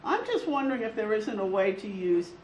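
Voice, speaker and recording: A teacher giving a classroom critique of student work, thinking aloud in a halting way. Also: mid-range voice, very animated. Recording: echoey room with faint noise.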